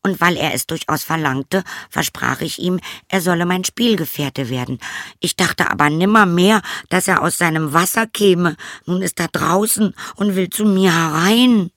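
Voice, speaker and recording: A man voicing a young princess from a fairy tale, speaking in a high, plaintive, pleading voice. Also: normal speed, a bit bright, no echo, clean studio sound. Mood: anxious.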